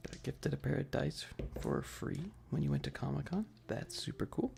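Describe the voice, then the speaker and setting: A soft-spoken, partly whispering ASMR streamer chatting casually and playfully with viewers into a close microphone, often repeating phrases. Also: medium pitch, expressive, normal speed, gravelly, faint music behind. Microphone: close adjustable microphone.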